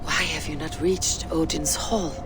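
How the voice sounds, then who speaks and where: A woman playing a stern, level-headed Viking warrior in a video game, speaking in a grave, measured, commanding way. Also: low-pitched, fairly even intonation, loud music behind the voice. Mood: solemn, searching. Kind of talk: dramatic video game acting.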